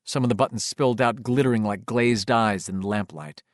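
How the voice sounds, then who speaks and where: A man reading horror fiction as a dramatic, expressive audiobook narrator, with a suspenseful delivery. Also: lowish voice, brisk, no echo, studio quality.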